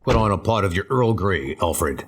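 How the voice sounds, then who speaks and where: A man's voice: sits low, expressive, moderate pace, a bit bright, a little hiss or hum. A man playing a grim, commanding masked crime fighter in a comic book audio drama, terse and matter-of-fact. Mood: grim, businesslike.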